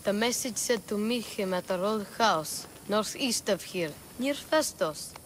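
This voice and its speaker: An actress playing a cheeky, candid child in a video game cutscene, speaking in a youthful, matter-of-fact way. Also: female voice, mid-range voice, animated, a little slow, a little hiss or hum, lightly acted, low-quality recording. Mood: resentful, candid. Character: cheeky, candid child searching for truth about father. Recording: faint music underneath.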